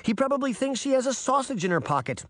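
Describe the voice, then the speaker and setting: A man's voice: very high-pitched, very animated, fast, no room sound, clean studio sound, voice pushed up. A man voicing an anxious, earnest girl in a storybook. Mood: exasperated.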